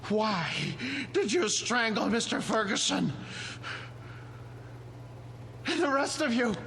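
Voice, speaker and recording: An actor playing a lonely, devoted wax museum caretaker in an audio drama, hesitant and pleading, with a breathy voice that often drops to a whisper. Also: male voice, very high-pitched, expressive, moderate pace, bright, forceful tone, slight background noise, exaggerated voice. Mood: shocked, accusing.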